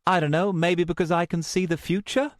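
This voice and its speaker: A man's voice: medium pitch, sing-song, a little slow, no room sound, studio quality, voice pushed up. A man playing an exasperated, protective man in an audiobook story, insistent and reasoning with a snarky streak. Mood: reassuring, snarky. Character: exasperated, protective man who can see the future.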